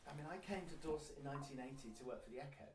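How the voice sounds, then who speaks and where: A man's voice: mid-range voice, rapid-fire. An older man, around seventy, interviewing informally in a chatty way and rephrasing as he goes. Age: late 60s to 70s.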